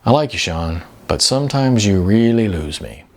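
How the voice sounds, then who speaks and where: Man as a laid-back, gravelly older guy. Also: on the low side, lively intonation, normal speed. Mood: dry. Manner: laconic, easygoing, hesitant. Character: laid-back, world-weary older man living modestly. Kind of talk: audiobook character dialogue.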